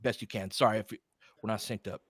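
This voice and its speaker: A man's voice: lowish voice, fairly even intonation, quick pace, a bit bright, breathy edge, no echo, studio quality. A man hosting a casual fan livestream, calling basketball in short clipped phrases mixed with chatty asides. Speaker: play-by-play host.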